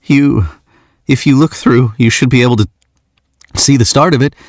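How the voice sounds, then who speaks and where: A shy, eager young man who stumbles over his words, his voice pitched well up and bright with nerves, as a storyteller puts him on. Quick restarts and hesitations fill his lines. The sound is close and dry, with no room around it. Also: expressive, dry recording, natural voice.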